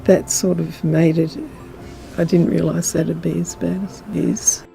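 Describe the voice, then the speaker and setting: A woman's voice: lowish voice, level tone, a little slow, creaky, warm tone, low-quality recording. An older woman giving a reflective, hesitant personal account in an interview.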